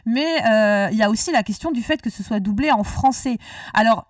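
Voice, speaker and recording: A woman's voice: on the high side, fairly quick, no room sound, clean studio sound, far from the mic. A woman hosting a casual discussion, talkative and rambling, often restarting her sentences. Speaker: podcast host.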